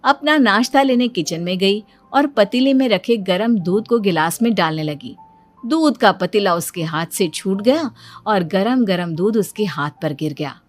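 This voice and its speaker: A woman giving scripted narration for a Hindi cartoon story in an even storytelling delivery. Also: medium pitch, unhurried, faint music behind.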